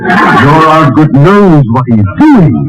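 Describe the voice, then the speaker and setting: An actor playing a pompous, theatrical middle-aged husband who fancies himself a hero in a 1940s radio comedy. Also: male voice, fairly high pitch, very animated, slow, crowd noise, poor audio. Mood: conspiratorial alarm. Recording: old radio recording with studio audience.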